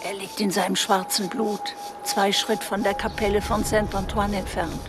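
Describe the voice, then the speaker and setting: A woman's voice: medium pitch, flat delivery, normal speed, over music, close to an everyday voice. Actress playing a blunt, plucky old village woman. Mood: matter-of-fact. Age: older. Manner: blunt, folksy, self-assured. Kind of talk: radio drama acting.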